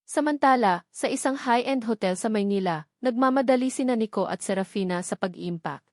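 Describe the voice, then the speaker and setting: A woman's voice: fairly high pitch, brisk, no echo. Female narrator, scripted romance drama, storytelling delivery, very dry close sound.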